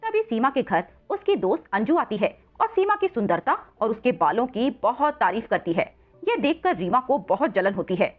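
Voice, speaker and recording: A woman's voice: a little high, sing-song, moderate pace, faint music behind. A woman narrating a scripted children's story as storyteller, on a narrow phone-like recording.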